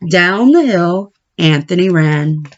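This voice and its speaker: A woman reading a children's picture book aloud as an expressive storyteller. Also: fairly low pitch, slow, lo-fi sound.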